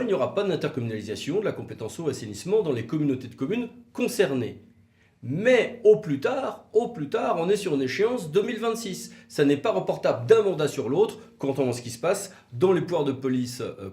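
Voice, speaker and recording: A man's voice: on the high side, darkish tone. A man giving a didactic legal explanation, posing rhetorical questions and stressing key words. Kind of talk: explanatory legal video talk, semi-scripted. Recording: roomy, echoey room sound.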